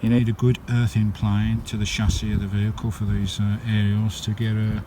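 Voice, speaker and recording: Chatty British man over loud windy noise. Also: on the low side, completely flat delivery, fairly quick. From United Kingdom. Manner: chatty, informal, often addressing viewers as guys. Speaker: vlogger. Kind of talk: casual hands-on vlog narration. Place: outdoors on and around a campervan. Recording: windy handheld recording with loud background noise. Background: wind and loud noise.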